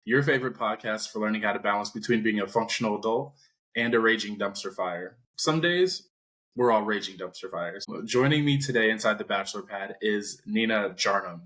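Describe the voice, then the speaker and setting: Upbeat male podcast host with a lowish voice. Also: a little fast, fairly bright tone. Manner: upbeat, joking, casual. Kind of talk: podcast interview.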